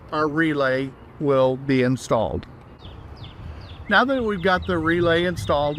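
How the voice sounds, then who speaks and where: Male instructor, slightly high voice, plain step-by-step hands-on walkthrough, faint outdoor background noise. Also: a bit bright.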